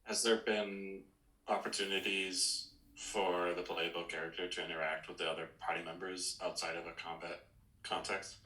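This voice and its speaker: Man, deep voice, crisp bright tone, echoey room, measured casual podcast talk. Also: little pitch movement. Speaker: podcast co-host. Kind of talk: casual video game discussion. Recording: roomy echo.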